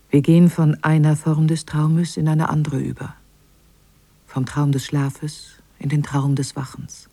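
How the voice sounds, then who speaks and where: A man with a mellow, husky voice of medium pitch reads a literary passage. His delivery is measured and reflective, in a dry, close sound. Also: dry recording. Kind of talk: literary quotation reading.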